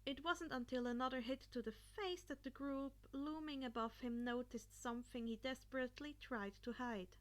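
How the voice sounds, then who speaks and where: A woman's voice: sits high, steady tone, normal speed, few pauses. A woman reading a story aloud as a podfic narrator, occasionally stumbling and repeating a phrase.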